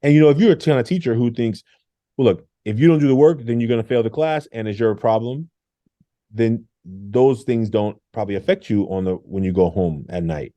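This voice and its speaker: A middle-aged man, a former engineer turned teacher, telling animated stories in an interview, acting out quotes and laughing often. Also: mid-range voice, fairly quick, dry recording. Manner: lively, acts out quotes with laughs.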